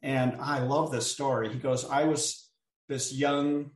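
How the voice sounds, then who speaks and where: A man speaks in a mid-range voice, reflective and unhurried, often pausing on small fillers. He sits well back from the microphone. The room around him is echoey. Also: medium pitch, level tone. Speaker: author guest. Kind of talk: reflective storytelling chat. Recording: roomy sound, distant from the mic.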